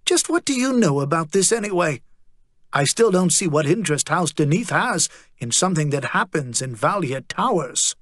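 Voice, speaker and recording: A man narrating a story and voicing a sharp-tongued, blunt female guard captain. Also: on the high side, sing-song, moderate pace, no echo, clean studio sound. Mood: indignant. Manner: blunt, demanding.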